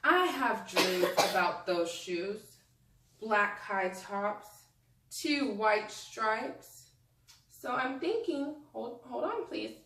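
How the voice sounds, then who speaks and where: A woman teacher reading a picture book aloud to young children, pausing to prompt them with questions and think out loud. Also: on the high side, expressive, a little hiss or hum. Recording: echoey room, distant microphone.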